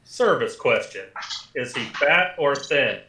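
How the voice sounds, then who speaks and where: A man's voice: mid-range voice, animated, slow pace, roomy sound, music in the background. A man voicing an impassive computer service in a radio comedy, speaking like a formal, matter-of-fact announcer. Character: impassive talking computer service giving deadly advice.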